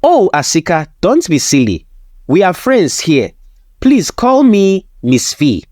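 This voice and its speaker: A storyteller voicing a seductive, cunning she-devil who poses as a beautiful woman and talks in a soft, coaxing way. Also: medium pitch, big pitch swings, takes it slowly, no echo, studio quality. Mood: coy. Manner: soft, coaxing, smooth-talking.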